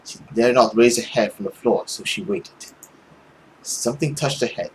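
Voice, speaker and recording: A young man reading a visual novel aloud as a gaming host, expressive and theatrical, recorded at home. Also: medium pitch, moderate pace, a little hiss or hum, low-quality recording. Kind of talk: dramatic read-aloud narration with reactions.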